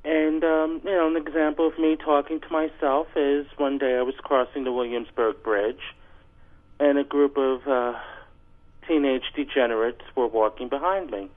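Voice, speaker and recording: A man calling a radio show over a phone line, reading aloud and bantering in a halting, insistent, deadpan way. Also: mid-range voice. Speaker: call-in guest on a radio show. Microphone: telephone. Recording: tinny, narrow phone line.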